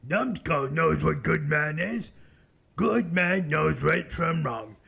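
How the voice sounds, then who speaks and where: A man's voice: a little high, animated, slow pace, gravelly, bright, forceful tone, slightly rough, exaggerated voice. A man voicing a simple, earnest brute in a web cartoon, speaking broken English in the third person. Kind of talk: scripted character acting in web animation.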